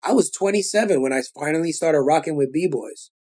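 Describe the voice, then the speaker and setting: Animated Brooklyn-accented man. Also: fairly high pitch, a little fast. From Brooklyn, New York. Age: 40s. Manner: animated street-style storytelling, slangy. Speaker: guest rapper. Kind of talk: casual interview chat.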